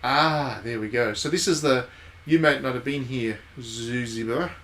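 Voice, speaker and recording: A man's voice: mid-range voice, measured pace, a bit bright. A man hosting a game stream, giving casual live commentary and thinking aloud in conversational asides.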